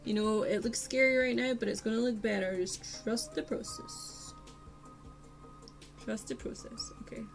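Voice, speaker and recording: A woman's voice: on the high side, moderate pace, creaky. A young woman with a posh Glaswegian Scottish accent, chatting casually with her live-stream viewers while she paints, rambling and poking fun at herself. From Scotland. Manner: chatty, self-mocking, rambling asides to chat. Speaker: art streamer. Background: faint lo-fi music.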